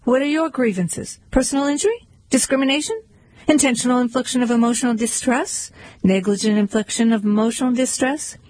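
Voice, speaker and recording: A sly fox in a suit pitches his legal services with slick persuasion, as a woman reads him. The voice is slightly breathy and dry. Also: steady tone, fairly quick, darkish tone, no room sound, close to an everyday voice, poor audio. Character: smooth-talking male fox lawyer.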